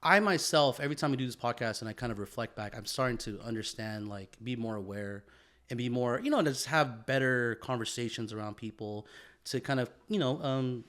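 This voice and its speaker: Male podcast co-host, mid-pitched. Informal and reflective, profane, repeats phrases, addresses listener as "man" or "bro". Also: fairly quick, fairly bright tone. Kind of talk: casual, reflective conversation.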